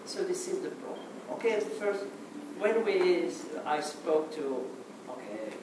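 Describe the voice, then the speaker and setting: A man from Morocco whose first language is Arabic, speaking English with a Moroccan accent in a hesitant conference talk, restarting often and filling pauses with "okay". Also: fairly high pitch, sing-song. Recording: echoey hall, mic far off, noisy.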